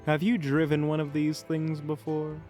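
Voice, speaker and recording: A man's voice: on the high side, completely flat delivery, normal speed, darkish tone, faint music behind, close to an everyday voice. A man playing a prickly, sarcastic male mentor in a fantasy audio roleplay, speaking in a dry, easily offended way.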